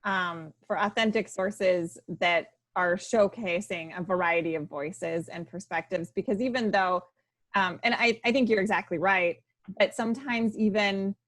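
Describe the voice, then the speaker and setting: A woman language teacher on a video call panel, earnest and filling pauses with um and you know. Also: mid-range voice, a bit bright, dry recording. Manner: earnest, with frequent ums and you-knows. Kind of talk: webinar panel discussion.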